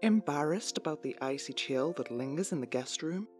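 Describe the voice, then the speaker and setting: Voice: mid-range voice, animated, moderate pace, close to an everyday voice. An actor playing a slick, over-sympathetic announcer in a spoof commercial for an audio drama. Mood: salesy, soothing. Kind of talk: parody commercial read. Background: music.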